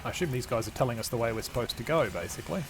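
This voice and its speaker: A man's voice: mid-range voice, lively intonation, very fast. An older man giving casual, wry let's play commentary as he plays a video game. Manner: dry, wry. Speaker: game streamer.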